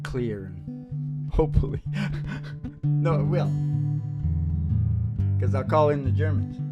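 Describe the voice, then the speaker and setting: A woman's voice: deep voice, takes it slowly, dull audio. A woman chatting casually and rambling, with an irreverent, salty way of talking, as host of a music show. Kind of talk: casual rambling chat and acoustic country and rockabilly singing.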